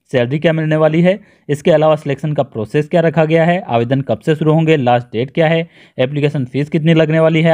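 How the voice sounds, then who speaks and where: A man's voice: on the high side, darkish tone. A man with an Indian accent narrating an explanatory screen-share tutorial in an instructional, step-by-step way, repeating points for emphasis. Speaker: presenter of job notification videos.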